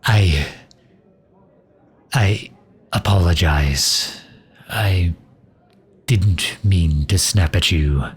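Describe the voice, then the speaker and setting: Man acting a deep, husky, sardonic mage. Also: extremely slow, pauses often, exaggerated voice. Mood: apologetic, strained. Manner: dry, grumbling, sharp-tongued, with hesitant breaks. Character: sardonic, loyal male mage advisor to a demon ruler. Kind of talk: intimate ASMR roleplay acting.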